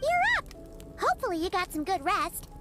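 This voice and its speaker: A woman voicing a tiny, excitable female companion creature in a video game, with a bubbly, squeaky manner. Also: loud music behind the voice. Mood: cheerful. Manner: bubbly, squeaky, quick and whiny.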